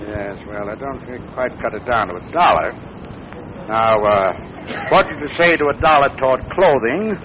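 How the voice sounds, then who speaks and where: An American actor playing a kindly older father in a measured, thoughtful way in 1940s radio drama. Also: mid-range voice, animated, lightly acted. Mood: gentle, wry. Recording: muffled, noisy 1940s radio recording.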